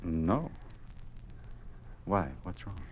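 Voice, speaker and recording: A man's voice: sits low, animated, drawn-out words, lo-fi sound, heavily acted. An American actor playing a middle-aged, level-headed but wary small-town father in a 1950s radio drama. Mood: concerned, steadying. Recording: hissy 1950s radio recording.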